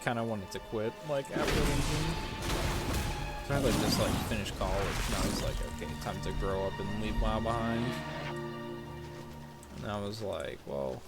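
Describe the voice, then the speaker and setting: A young man giving casual, rambling game playthrough commentary, swearing freely. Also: on the low side. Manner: rambling, profane, reads quest text aloud. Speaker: gaming video creator. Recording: loud game music behind voice. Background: video game music.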